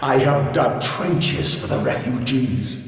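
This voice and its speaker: Grandiose machine god in a cavernous echo. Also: male voice, mid-range voice, slow pace. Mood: ominous, fervent. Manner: theatrical, oratorical delivery, swinging from coaxing to ranting. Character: grandiose sentient machine god, pleading, menacing, male-voiced. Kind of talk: dramatic video game monologue. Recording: cavernous echoing hall, distant voice.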